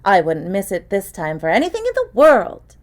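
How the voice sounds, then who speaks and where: A woman voicing a precocious young girl in an audiobook, calm and clear, with a cynical, worldly edge. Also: mid-range voice, normal speed. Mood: breezy, dramatic. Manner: clear, calm, worldly beyond her years. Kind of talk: acted audiobook dialogue.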